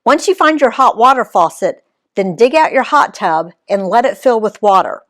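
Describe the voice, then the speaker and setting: A woman's voice: on the high side, expressive, normal speed, fairly bright tone. A woman reading the lines of a friendly local man patiently explaining how something works. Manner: patient, instructive.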